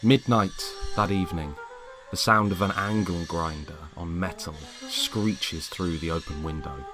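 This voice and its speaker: A man narrating a scripted story in a measured, dramatic way. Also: low voice, steady tone, music in the background.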